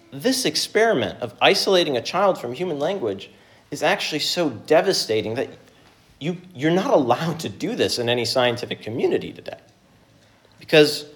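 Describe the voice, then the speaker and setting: A man preaching a sermon, reading scripture, praying and then teaching in an earnest, measured way with pauses. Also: medium pitch. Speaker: pastor.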